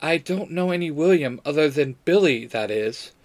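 A man reads as a mystical woman, keeper of time, in a superhero audio story. The delivery is guarded and plain, at a fairly high male pitch. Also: expressive, normal speed, dry recording. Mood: puzzled, guarded.

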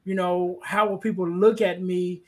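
A man with a light, high-pitched voice hosts a podcast in a casual, conversational way. He feels his way through sentences, stopping to hunt for the right word and dropping in "you know" often. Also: little pitch movement, moderate pace. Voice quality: light, soft-toned voice. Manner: hesitant, searching for words, often says "you know". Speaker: podcast host.

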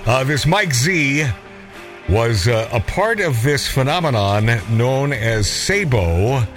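A man's voice: medium pitch, expressive, slow pace, a bit bright, faint music behind. A man hosting a casual talk radio show, brash and joking, talking up his guest and his listeners. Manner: brash, joking, hype-driven.

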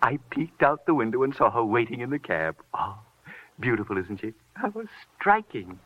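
An actor playing an eccentric, childlike millionaire toymaker in a 1950s radio drama, pompous, fussy and theatrical. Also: male voice, medium pitch, sing-song, normal speed. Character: eccentric childlike millionaire toy company president, pompous and excitable. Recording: dull vintage radio recording.

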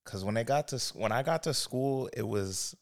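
A man from a Haitian-American family, co-hosting a casual podcast chat and telling his story in a relaxed, steady way. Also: medium pitch, normal speed. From United States, Haitian family. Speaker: podcast co-host.

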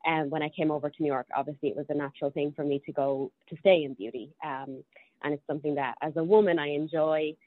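A woman's voice: low voice, fairly even intonation, fast talker, dry recording. A woman in her twenties with a Dublin Irish accent, chatting as an interview guest with many ums and restarts. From Ireland. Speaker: podcast guest. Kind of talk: casual interview chat. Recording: tinny phone-like line.

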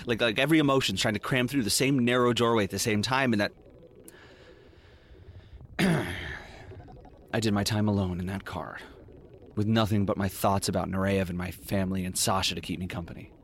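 An actor playing a world-weary, wry detective in a noir audio drama, brooding and sardonic. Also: male voice, fairly low pitch, a little fast, pauses often, a little hiss or hum, natural voice. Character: world-weary, wry male detective narrating his story. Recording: faint music behind the voice.

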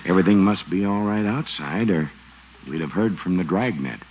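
An actor playing an unhurried, complacent small-town police chief in 1940s radio comedy, folksy and placidly official. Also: male voice, low-pitched, expressive, husky. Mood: hushed, placid. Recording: muffled, noisy 1940s radio recording.

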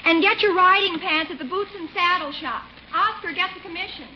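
Eager, high-voiced teenage niece. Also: female voice, a little fast. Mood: eager, insistent. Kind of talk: 1940s radio situation comedy acting. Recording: muffled, hissy 1940s radio recording.

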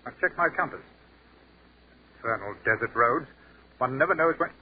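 An actor playing a precise, wry British army captain with a clipped, formal English accent, in 1940s radio acting. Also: male voice, medium pitch, expressive, normal speed, husky. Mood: worried. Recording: tinny crackly 1940s radio broadcast.

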